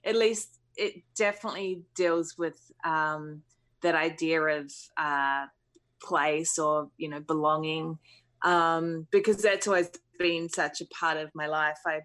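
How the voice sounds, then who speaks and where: A musician in her thirties from New Zealand talks through her answers slowly and thoughtfully. She hesitates often and fills the gaps with ums and "you know". Her voice sits fairly low. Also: steady tone, fairly bright tone. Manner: thoughtful, hesitant, many fillers. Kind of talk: interview answers.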